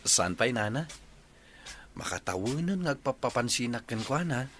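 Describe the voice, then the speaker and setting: An actor playing a polite, easygoing young suitor in a radio drama. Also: male voice, medium pitch, expressive, normal speed, a bit bright, slight background noise. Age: young adult.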